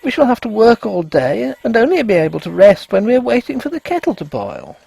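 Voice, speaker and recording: A man's voice: sits high, huge pitch swings, fairly quick, vocal fry, warm tone, slightly rough, dry recording, pitched above the performer's own voice, full character voice. A man voicing a distressed, tearful young wife who complains earnestly in storybook dialogue. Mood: fretful, earnest. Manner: emphatic, complaining. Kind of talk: acted audiobook dialogue.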